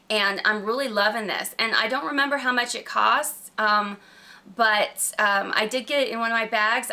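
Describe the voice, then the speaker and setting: A woman with a slightly croaky voice from a cold, chatting casually and emphatically to camera as a gushing beauty vlogger. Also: a little high, sharp tone. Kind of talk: casual product review chat to camera.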